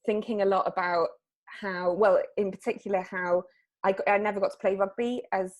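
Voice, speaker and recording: A woman talks about her work in a loose, conversational way. She stops and restarts often and leans on fillers as she goes. Her voice sits at an ordinary middle pitch. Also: steady tone, moderate pace. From South Wales. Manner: hesitant, lots of fillers and restarts. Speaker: artist. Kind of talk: casual interview chat.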